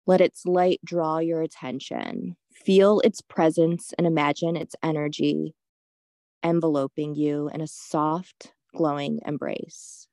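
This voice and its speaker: A woman leading a guided meditation in a soothing, unhurried, gently instructive way. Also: low-pitched, dry recording, studio quality.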